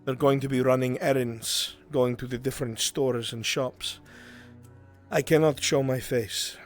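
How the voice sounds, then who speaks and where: Guy roleplaying grave earnest fantasy man, talks real slow w dramatic pauses, bit of music behind. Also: mid-range voice, fairly even intonation, lightly acted. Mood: urgent pleading. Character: grave, earnest man pleading for a lost enslaved friend. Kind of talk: in-character improvised fantasy acting.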